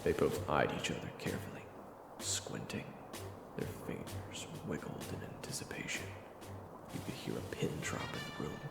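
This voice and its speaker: Guy narrating an audio roleplay, dramatic, sometimes hushed, very varied tone, bit roomy. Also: very deep voice, animated, normal speed, gravelly, in a whisper. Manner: theatrical, often hushed. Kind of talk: dramatic scripted second-person narration. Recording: roomy sound under loud music. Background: music.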